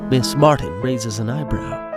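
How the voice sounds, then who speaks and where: A man's voice: mid-range voice, unhurried. A man narrating a scripted comic audio drama in a wry storytelling manner. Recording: music behind the voice.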